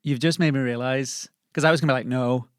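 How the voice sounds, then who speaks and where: A man's voice: medium pitch, steady tone, fast, clear, resonant tone, no echo, clean studio sound. A man bantering casually as a podcast cast member.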